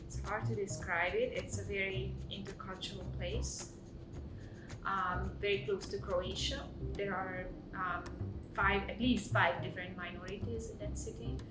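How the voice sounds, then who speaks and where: A woman from southern Hungary speaks in a measured, listing manner. Her voice is distant and echoey. Music plays clearly in the background. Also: medium pitch, steady tone. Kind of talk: conference interview answers.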